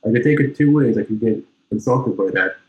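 A man hosting a casual interview, talking in a relaxed, loose way with lots of fillers. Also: on the low side, fairly quick, phone-quality sound. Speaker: podcast host.

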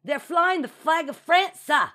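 A woman's voice: high-pitched, animated, a little slow, bright, forceful tone, voice pushed up, full character voice. A nervous young sailor from a sea story, acted by a woman. He calls out urgently in a raised, swooping whine, eager and deferential. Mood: alarmed. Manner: urgent, whiny, calling out. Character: anxious, whining, deferential young male ship's crewman.

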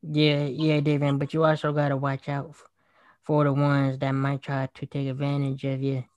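A man in his twenties in casual chat, agreeable and chiming in with quick remarks. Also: mid-range voice, completely flat delivery, darkish tone, no echo, lo-fi sound. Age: 20s.

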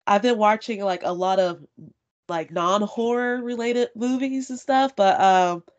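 A woman's voice: mid-range voice, measured pace, dull audio. A woman hosting a podcast, chatting casually and swearing freely, her voice stuffy from allergies. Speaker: podcast host.

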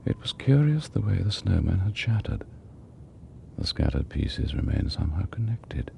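An actor playing a sinister, wry British storyteller, narrating radio horror with deliberate dramatic pauses and dry relish. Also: male voice, low voice, sing-song, vocal fry, mellow, slight background noise, full character voice. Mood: macabre.

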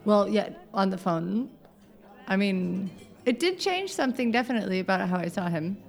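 A woman's voice: mid-range voice, expressive, normal speed, vocal fry. A woman from the United States, chatting as a podcast guest, laughing often and wandering into asides. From United States (Southern Oregon). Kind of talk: casual chat.

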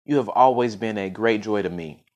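A man's voice: fairly low pitch, moderate pace, a little mellow, lightly acted. A man voicing a poor, kindly farmer in a folk tale, speaking plainly and earnestly with emphasis. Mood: trusting.

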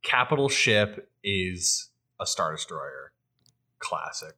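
Lower-voiced man chatting on a podcast. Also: sing-song, slow pace, pushed and bright. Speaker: podcast co-host. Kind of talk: casual chat.

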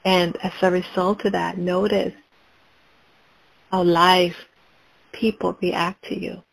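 Woman, meditation guide, slow soothing repeated prompts, gravelly voice, fairly low. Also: low-quality recording. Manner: slow, calm, repetitive guiding prompts.

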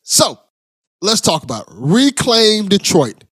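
A man's voice: high-pitched, sing-song, slow pace, a bit bright, breathy edge, dry recording, studio quality. A man hosting a podcast, chatting casually and enthusiastically with a guest. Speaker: podcast host. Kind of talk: casual interview chat.

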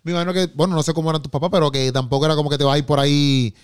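A man hosting a casual interview, relaxed and joking, often chiming in with agreement. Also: fairly high pitch, very fast, smooth, no echo, studio quality. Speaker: host.

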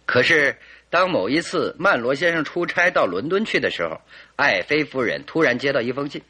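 Confident male detective, old radio play. Bright, slightly rough voice, leisurely theatrical deductions. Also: medium pitch, expressive, normal speed. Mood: animated narrating. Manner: theatrical, leisurely deductive storytelling with rhetorical questions. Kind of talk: old-fashioned Mandarin radio drama acting.

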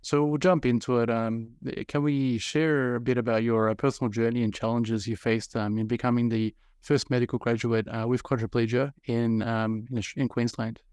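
A man hosting an interview in a clear, smooth voice. He rambles through his questions, thick with fillers. The recording is dry and close. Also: mid-range voice, little pitch movement, moderate pace, few pauses, darkish tone, no room sound. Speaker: podcast host. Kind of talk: casual interview.

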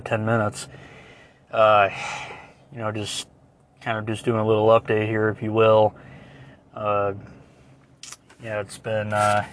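A hoarse man hosting a solo podcast, chatting in a rambling, folksy, apologetic way as he gives a casual update. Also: lowish voice, a little hiss or hum. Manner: folksy, apologetic, mild euphemisms. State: hoarse, clearing throat. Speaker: podcast host.

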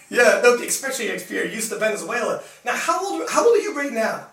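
A man's voice: very high voice, big pitch swings, a little fast, vocal fry, fairly bright tone, distant mic, a little hiss or hum. A young man from Canada hosting a casual live interview show, chatty and laughing often, full of fillers. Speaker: host. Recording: roomy sound, mic set back.

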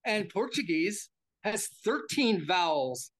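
A man's voice: high voice, big pitch swings, slow, fairly bright tone. An older American man being interviewed, talking casually and rambling, repeating words and restarting sentences as he goes. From United States.

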